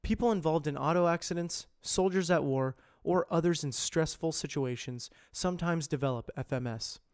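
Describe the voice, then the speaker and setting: Higher-pitched man reading scripted narration. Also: normal speed, a little mellow, clear, resonant tone. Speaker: amateur voice actor practising reads. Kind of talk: scripted narration, educational and informational.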